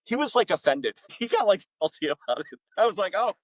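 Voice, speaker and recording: A man's voice: sits high, sing-song, no echo. Enthusiastic man telling stories, close dry sound. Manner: enthusiastic, emphatic storyteller with dramatic pauses and repetition. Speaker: author and voice-acting school director, podcast guest. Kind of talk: casual, animated interview chat.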